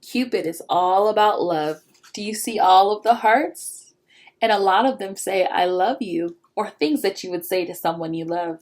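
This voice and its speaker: A woman's voice: mid-range voice, normal speed, a little mellow. A woman reading a children's picture book aloud expressively, turning to young listeners with questions and asides. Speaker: read-aloud storyteller.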